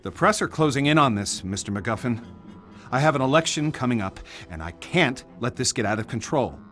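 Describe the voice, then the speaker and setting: An actor playing a proud, pressured senator and family patriarch in a video game, with a formal, authoritative manner. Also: male voice, mid-range voice, expressive, fairly quick, fairly bright tone, faint music behind, natural voice. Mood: tense, threatening. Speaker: senator character. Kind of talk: dramatic video game dialogue.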